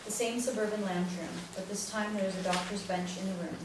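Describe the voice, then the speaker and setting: A woman's voice: mid-range voice, fairly even intonation, fairly quick. A woman reading scripted stage directions as the narrator of a play. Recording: very echoey room, distant mic, noisy.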